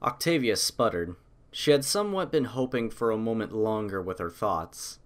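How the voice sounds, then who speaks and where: A man reading a story aloud as scripted audiobook narration, in a measured, literary storytelling manner. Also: lowish voice, expressive.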